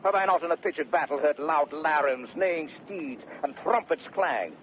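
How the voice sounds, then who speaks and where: An actor playing a blustering, boastful young gentleman adventurer, declaiming in a 1930s radio Shakespeare play on a very tinny, noisy recording. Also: on the high side, normal speed, close to an everyday voice. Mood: boastful bravado. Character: swaggering, impudent young gentleman adventurer and fortune-seeker. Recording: very tinny vintage radio recording, faint noise.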